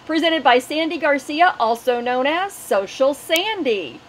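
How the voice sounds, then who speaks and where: A woman's voice: high voice, lively intonation, unhurried, slight background noise. A middle-aged woman hosting an online webinar and making announcements. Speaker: webinar host.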